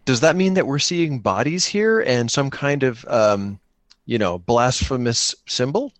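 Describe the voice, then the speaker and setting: A man's voice: mid-range voice, expressive, measured pace, no room sound. A man co-running an improvised tabletop game, narrating casually and thinking aloud in a joking way. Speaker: co-player running a solo-engine tabletop game.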